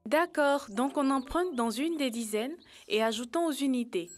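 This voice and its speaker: A woman's voice: high voice, moderate pace, soft background music, close to an everyday voice. A woman voicing an earnest, helpful young animal in a French educational cartoon, explaining things step by step.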